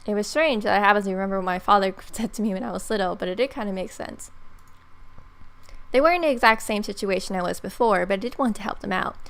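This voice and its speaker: A young woman reading story narration aloud for a game playthrough, expressive, with small stumbles. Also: medium pitch, quick pace, vocal fry. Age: young adult. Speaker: let's play streamer.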